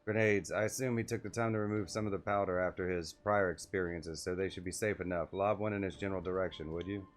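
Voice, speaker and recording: A man's voice: low voice, fairly even intonation, fast, few pauses. A man streaming a video game, reading quest text aloud and adding casual asides. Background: faint game music.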